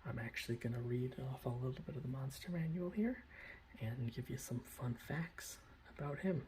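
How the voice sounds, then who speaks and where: A man speaking in a breathy whisper, presenting his hobby in a casual, rambling ASMR style. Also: moderate pace, background noise. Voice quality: breathy whisper with soft low speech. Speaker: hobbyist miniature painter presenting his collection. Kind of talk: whispered ASMR show-and-tell.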